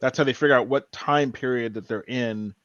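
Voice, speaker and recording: A man's voice: mid-range voice, a little fast, a bit bright, dry recording, muffled recording. A man co-hosting a podcast in casual discussion, thinking aloud tentatively. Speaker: podcast co-host.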